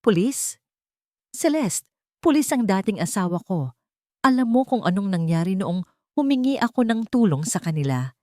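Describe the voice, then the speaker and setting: A woman's voice: medium pitch, sing-song, normal speed, pauses often, warm tone, dry recording, lightly acted. A woman narrator acts a frightened single mother in an audio drama. She sounds torn and bitter, wary of everyone. The sound is close and dry. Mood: bitter, fearful.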